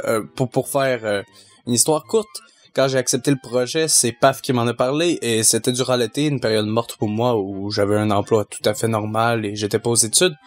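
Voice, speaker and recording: A young man with a Québécois French accent, a sound mixer answering fan questions, measured and hesitant with many fillers. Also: mid-range voice. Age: young adult. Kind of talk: casual Q&A answers.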